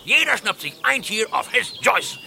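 Give this to a commanding, jolly old Santa Claus. The voice is high and gravelly, swinging wildly as it slips into German. It sounds like a lively children's radio play. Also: male voice, moderate pace, slight background noise, heavily acted. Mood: rousing, boisterous. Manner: exclamatory, mixes English phrases into German.

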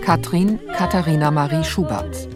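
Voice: medium pitch, normal speed, loud music behind the voice. An announcer formally reading the title and cast credits of a radio play.

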